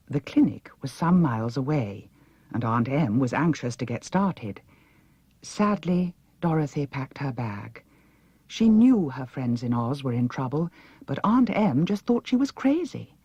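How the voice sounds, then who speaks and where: A British woman narrates a children's story with lively, swooping pitch. Her voice has a husky, breathy edge, and the recording is close and dry. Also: no room sound. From United Kingdom. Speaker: audiobook narrator.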